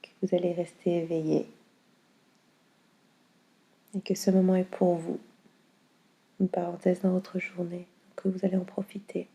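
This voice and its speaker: Low, mellow woman guiding meditation. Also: gravelly. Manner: calm, soothing, unhurried with long pauses. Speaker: yoga nidra guide. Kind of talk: slow guided meditation.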